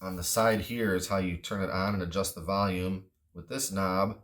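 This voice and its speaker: A man with a low-pitched voice reviews a product off the cuff. He is matter-of-fact and a little tentative, with an understated delivery. It sounds like casual, unscripted talk. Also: steady tone, moderate pace, a bit bright, a little hiss or hum.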